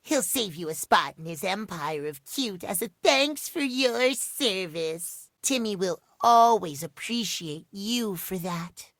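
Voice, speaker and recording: A cutesy, childish clock boy from a video game, high in pitch and slow to get through his words. He is needy, pouty and playful, bouncing up and down in tone as he talks about himself in the third person. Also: lively intonation, fairly bright tone, no echo, clean studio sound, heavily acted. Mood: proud, tender. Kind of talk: animated video game character acting.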